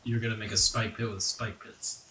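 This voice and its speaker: A man's voice: fairly low pitch, little pitch movement, fairly quick, pushed and bright, a little hiss or hum. A man narrating a tabletop roleplaying game as its game master. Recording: roomy echo, music underneath. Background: background music.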